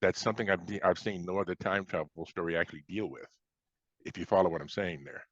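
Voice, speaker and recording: An American man from Chicago speaking as an interviewed author, explaining things conversationally in a halting way with repeated starts. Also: deep voice, fairly even intonation. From Chicago, United States. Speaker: science fiction author being interviewed. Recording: dull, roomy lo-fi sound.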